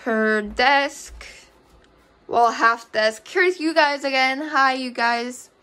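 A young woman chats casually to a camera as she moves through her home. Her voice sits a little high and she talks very slowly. She is hesitant and rambling, working out her thoughts aloud. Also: sharp tone. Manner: hesitant, halting, thinking aloud. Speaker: vlogger giving a house tour. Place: rooms of a home, indoors. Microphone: handheld camera or phone at arm's length.

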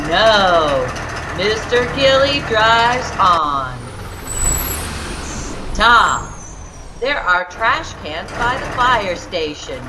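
A woman reading a picture book aloud to young children as a storyteller, with expressive, exaggerated emphasis and music behind her. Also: mid-range voice, very noisy. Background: background music.

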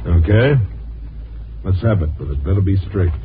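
Low-pitched hard-boiled American newspaperman. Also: animated, moderate pace, background noise. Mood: brisk, skeptical. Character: ambitious hard-boiled newspaper reporter, later a bitter washed-up loser. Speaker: actor in a radio drama. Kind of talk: 1950s American radio drama acting. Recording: muffled old 1950s radio recording with music.

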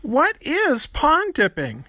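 Woman as a bright, curious schoolboy puppet. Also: high voice, wildly swooping pitch, takes it slowly, dry recording, exaggerated voice. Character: Carlos, curious newcomer fifth-grade schoolboy puppet. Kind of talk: scripted children's puppet show.

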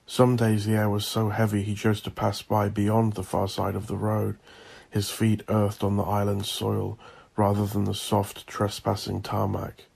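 A man narrating scripted horror fiction in an ominous, deliberate, theatrical way, with a gravelly, husky voice. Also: lowish voice, monotone, a little mellow.

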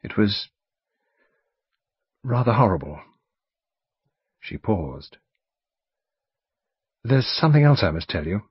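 A man's voice: fairly low pitch, big pitch swings, slow pace, pauses often, husky, dry recording, full character voice. A man reading an audiobook, voicing an earnest, determined young woman who speaks insistently. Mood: grave. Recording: dull lo-fi recording.

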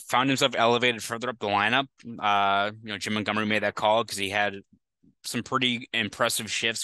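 A man's voice: fairly low pitch, bright, forceful tone, clean tone, dry recording, poor audio. A young man with a Boston accent, giving a conversational postgame sports recap on a headset mic, with frequent fillers. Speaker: sports reporter. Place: small indoor room.

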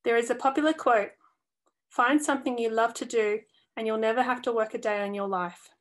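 A young woman earnestly reading a first-person essay aloud for a youth publication, with a steady delivery and small stumbles along the way. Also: a little high, a little fast. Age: young adult.

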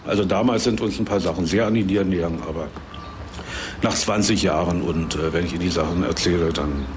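Mature male interviewee, deep, halting recollection, noisy location recording. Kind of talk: spontaneous recollection.

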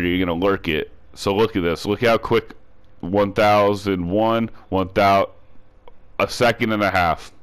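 A man's voice: fairly low pitch, clean tone. Stuffy-nosed man explaining game tactics. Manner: repetitive step-by-step instruction with frequent restarts. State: congested from a cold. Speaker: gaming tutorial creator. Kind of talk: casual game tutorial voice-over.

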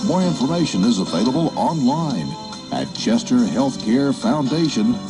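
Male radio announcer, animated delivery, medium pitch, scripted station reads over loud music on an FM aircheck. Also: normal speed.

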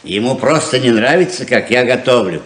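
An actor playing a patient middle-aged father of grown sons in a 1970s radio play, gentle and conciliatory. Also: medium pitch, lively intonation, moderate pace, slight background noise, close to an everyday voice. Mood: mild, curious.

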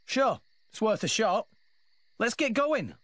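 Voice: medium pitch, sing-song, slow pace, no room sound. An actor playing an upbeat teenage boy adventurer in a video game, friendly, eager and plain-spoken. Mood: earnest. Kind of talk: animated video game acting.

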